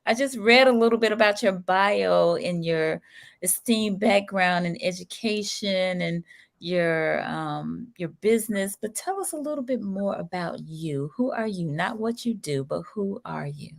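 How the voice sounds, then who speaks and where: A woman's voice: mid-range voice, expressive, unhurried, vocal fry. A woman hosting a live-streamed interview show, upbeat and greeting viewers by name. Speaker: talk show host.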